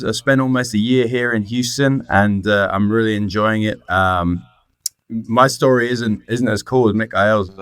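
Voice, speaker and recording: A southern English man chats casually as a guest, informal and modest about himself. His voice is clear and smooth, slightly low, with a dry close recording. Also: a bit bright, no echo. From England, near Oxford. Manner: informal, self-deprecating. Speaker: guest, student. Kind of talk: casual personal chat.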